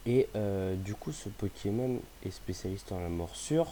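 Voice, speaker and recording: A man's voice: fairly low pitch, animated, unhurried, mellow, a little hiss or hum. A young man with a cold talking casually about games, hesitant, with many fillers and restarts. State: has a cold, sniffly. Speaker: gaming youtuber. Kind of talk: casual vlog chat.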